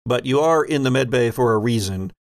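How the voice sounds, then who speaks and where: A man narrating a tabletop role-playing game as game master in a deliberate, descriptive way. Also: medium pitch, lively intonation, no room sound, clean studio sound.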